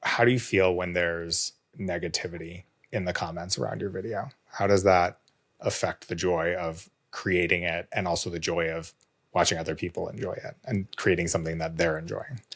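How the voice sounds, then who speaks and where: A man hosting a podcast in casual conversation, his voice slightly hoarse with a touch of vocal fry, earnest and reflective. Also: low voice, steady tone, moderate pace, a bit bright. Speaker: podcast host.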